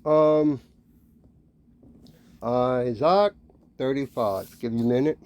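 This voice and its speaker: A man giving an informal, admonishing sermon, talking conversationally with frequent checking tags and pausing to read scripture. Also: medium pitch, a little mellow. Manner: conversational, peppered with "right?" and "okay?" tags; admonishing, warning. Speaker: religious street-style preacher and teacher.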